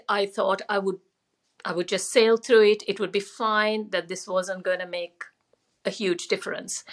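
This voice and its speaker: A woman's voice: mid-range voice, a bit bright. A middle-aged woman from Sri Lanka, telling her personal story conversationally as a podcast guest. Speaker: podcast guest, former doctor and wellness coach.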